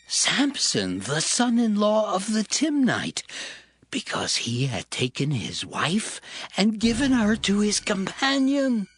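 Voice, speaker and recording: Accusing townsperson, raspy n slow, dry sound, bible drama. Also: female voice, medium pitch, huge pitch swings, no echo, exaggerated voice. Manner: slow, deliberate. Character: accusing ancient townsperson informing on someone. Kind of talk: dramatized scripture acting.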